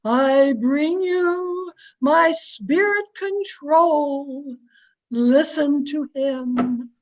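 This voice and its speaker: A woman's voice: high-pitched, extremely slow, poor audio. A woman playing a pompous, bossy society wife who fancies herself a medium, in theatrical old-time radio style. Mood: grand trance. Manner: theatrical, imperious, grandly emphatic. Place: remote recording over a video call.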